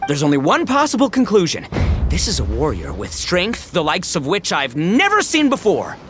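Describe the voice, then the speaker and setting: Brash, wildly animated young gang boss. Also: male voice, a little high, normal speed, faint music behind. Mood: awestruck. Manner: swaggering slangy bravado with dramatic swings. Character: brash, boisterous, big-hearted young male gang boss. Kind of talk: anime game voice acting.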